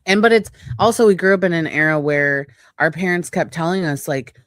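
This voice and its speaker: A woman's voice: fairly low pitch, brisk, dry recording. A woman in her late thirties chatting casually as a podcast co-host, rambling and acting out imagined quotes. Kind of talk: casual personal chat.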